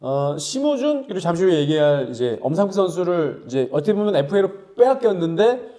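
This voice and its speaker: A man's voice: high-pitched, big pitch swings, brisk, warm tone. A man hosting a casual baseball talk show, steering topics and giving short acknowledgements. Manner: frequent short acknowledgements, reads out figures. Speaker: host.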